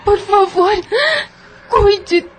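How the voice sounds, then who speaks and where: An actress in an audio drama playing a weak, dying young mother, tender but resolute, speaking haltingly with a breathy, faint voice. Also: extremely high pitch, level tone, a little hiss or hum, heavily acted. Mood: tearful pleading. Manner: halting, slow, earnest.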